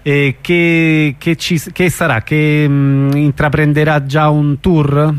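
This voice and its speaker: Male radio host, lightly raised pitch, dry studio sound, informal interview delivery. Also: little pitch movement, slow, clean tone, no echo.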